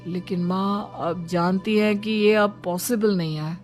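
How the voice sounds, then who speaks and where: A woman's voice: mid-range voice, fairly even intonation, measured pace, natural voice. A woman puts on a troubled young married son in a moral story. He sounds earnest and dutiful, protesting to his elders over background music.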